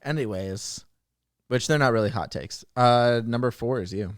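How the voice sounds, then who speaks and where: A man co-hosting a podcast in casual banter, teasing in a dry, deadpan way. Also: mid-range voice, normal speed, dry recording. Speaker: podcast co-host.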